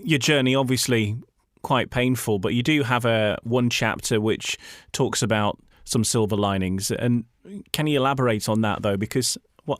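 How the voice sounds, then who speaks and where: A man's voice: on the low side, no room sound. Dry-sounding male radio interviewer.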